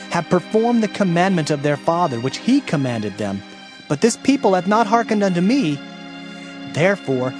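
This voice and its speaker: A man playing a solemn Hebrew prophet proclaiming warnings in a declamatory dramatized audio Bible. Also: on the high side. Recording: background music under the voice.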